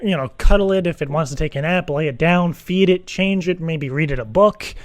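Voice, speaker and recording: An earnest, worried dad, put on by a male prank caller, speaks in a slightly high voice with a very dry sound. He rambles haltingly and circles back over his own words as he pleads his case in an improvised phone prank. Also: no room sound, close to an everyday voice. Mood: earnest, explaining. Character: earnest, anxious divorced dad seeking a teddy-bear sitter.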